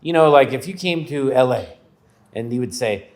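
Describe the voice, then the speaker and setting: A man co-hosts a casual podcast, blunt and a bit grumpy, with curses dropped in freely. His voice sits at a medium pitch for a man. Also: expressive, moderate pace, a little mellow. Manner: blunt, grumbling, swears. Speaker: podcast co-host. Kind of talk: casual chat.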